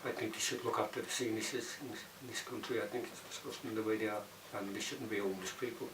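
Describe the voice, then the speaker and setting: A man in his sixties with a lowish voice and a Liverpool accent, speaking as an interviewee. He sounds distant from the mic in a roomy space, with noticeable background noise. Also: fairly even intonation, quick pace. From Bootle, Liverpool, England. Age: 60s. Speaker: documentary interviewee. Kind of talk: unscripted interview answers.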